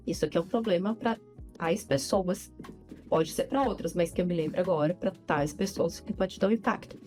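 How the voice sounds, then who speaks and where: A woman, a podcast guest talking animatedly and quickly, often repeating herself. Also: medium pitch. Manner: animated, talks fast with many repeats. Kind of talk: casual interview conversation.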